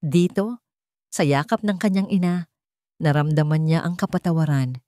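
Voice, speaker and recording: A woman's voice: low voice, normal speed, mellow, no echo, clean studio sound. A woman narrating a scripted dramatic story as its storyteller.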